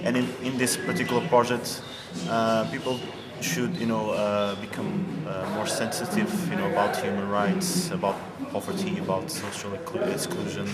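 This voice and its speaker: A man's voice: lowish voice, level tone, very noisy. A man giving hesitant, unscripted interview answers, leaning often on filler phrases. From Portugal. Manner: hesitant, halting, repeated "you know". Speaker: interviewee, youth project participant. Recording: echoey room with loud music behind.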